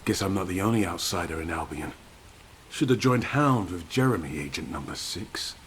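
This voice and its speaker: An actor playing a gruff, sardonic outsider fighter in a video game, surly and terse. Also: male voice, sits low, animated, moderate pace, slight background noise. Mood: mocking.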